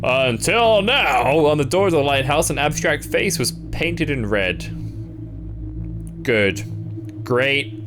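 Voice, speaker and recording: A man with a fairly high voice reacts on a stream with music playing behind him. His pitch swings widely as he reads text aloud and drops in sarcastic, deadpan remarks. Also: slow pace, long pauses. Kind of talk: live reaction, reading on-screen text aloud with asides.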